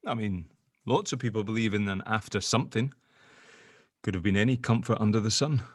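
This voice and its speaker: Man playing sceptic, sardonic, bantering, slightly low pitch, very dry sound, audio drama. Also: brisk, dry recording, close to an everyday voice. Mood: musing. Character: sardonic, sceptical spirit-dealing grave opener, gently joking. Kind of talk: audio drama table read.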